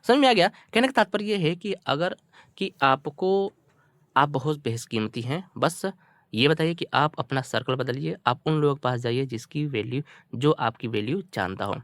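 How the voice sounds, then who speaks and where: An Indian man with a somewhat high-pitched voice works as a language teacher, going through a story in two languages. He explains phrase by phrase, repeats himself and keeps checking with short questions. The sound is dry, with very little room echo. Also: lively intonation, moderate pace, a bit bright. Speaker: English teacher. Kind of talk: bilingual storytelling lesson, translating line by line.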